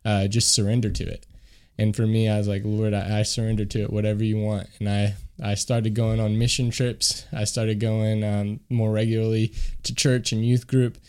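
Male missionary from Kentucky, earnest testimony, even, mellow delivery. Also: on the low side, normal speed, smooth. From Kentucky, USA. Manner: earnest, sincere, with frequent restarts. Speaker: missionary guest. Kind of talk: personal testimony in an interview. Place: studio.

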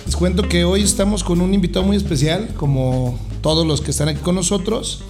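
A man's voice: high-pitched, a little fast, over music. A man with a Mexican accent hosting a podcast in a casual, jovial, joking way. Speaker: podcast host. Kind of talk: casual interview chat.

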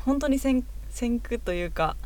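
A woman's voice: on the high side, expressive, normal speed, a little mellow, slight background noise. A woman podcast host chatting casually as a fan, agreeing emphatically with her friends.

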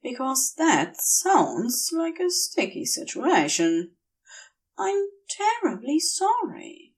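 A woman's voice: sits high, huge pitch swings, takes it slowly, far from the mic, pitched above the performer's own voice, heavily acted. A woman voicing a prim, dazed male angel in an audiobook, speaking with polite, rambling earnestness and longing. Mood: apologetic.

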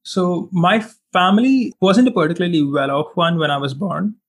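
A man's voice: high-pitched, normal speed. Indian-raised man recalling his upbringing. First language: Hindi. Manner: reflective, frequent "like" and "you know". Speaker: guest. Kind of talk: casual interview chat.

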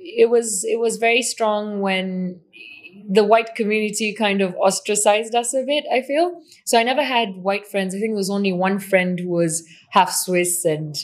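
Kenyan-accented woman guest, open and self-mocking, ordinary pitch, easy laughter. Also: steady tone, moderate pace. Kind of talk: candid conversational storytelling.

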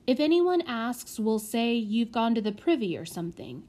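Woman voicing an earnest, high-voiced young boy. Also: sing-song, moderate pace, dark tone. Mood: helpful. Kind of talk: children's storybook character voice.

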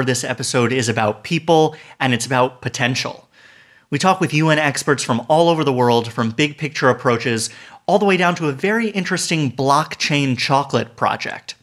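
A man hosting a podcast, reading a scripted intro and asking interview questions in an upbeat, clear way. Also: medium pitch, fairly bright tone. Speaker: podcast host.

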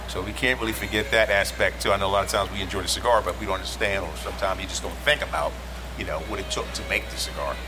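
A man's voice: low voice, fast, over music. A man in a casual podcast panel, exhorting listeners and repeating his points, recorded in a lounge. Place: cigar lounge. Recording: clearly noisy lounge recording.

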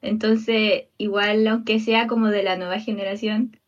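A woman's voice: mid-range voice, little pitch movement, unhurried. A young woman talks earnestly, restarting her sentences as she goes. She sounds far from the mic and a little muffled. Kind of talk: casual group chat.

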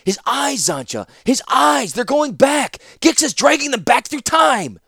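A man voicing a desperate young male ally who shouts urgent pleas in a storybook reading. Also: very high voice, big pitch swings, a little fast, no room sound, studio quality, pitched above the performer's own voice. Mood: frantic shouting. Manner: shouting, pleading.

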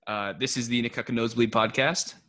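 A man's voice: mid-range voice, steady tone, fast talker, pushed and bright, a little hiss or hum. A young American man hosting a casual podcast. He talks in a laid-back, chatty way, laughs often and uses lots of fillers. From United States. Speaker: podcast host. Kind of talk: casual podcast chat mixing English and Tagalog.

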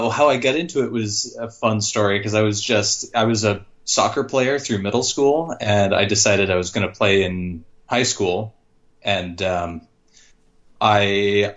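A man in his thirties, a podcast guest, telling his story in a relaxed, rambling way with lots of fillers. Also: fairly low pitch, echoey room, distant mic, muffled recording. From born in Canada, grew up in Georgia, USA. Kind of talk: casual storytelling in an interview.

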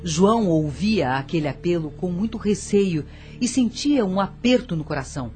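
Low-voiced woman narrating radio drama. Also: animated, moderate pace, mellow, soft background music.

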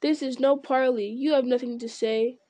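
A woman reading aloud voices a horde of brutish orc warriors, taunting loudly and a little slowly. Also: high-pitched, steady tone, close to an everyday voice. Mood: jeering.

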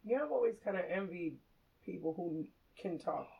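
A woman co-hosting a comedy podcast, a loud talker with a bassy voice and dry, deadpan jokes. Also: normal speed, creaky, phone-quality sound. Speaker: podcast co-host. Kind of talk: casual comedic chat.

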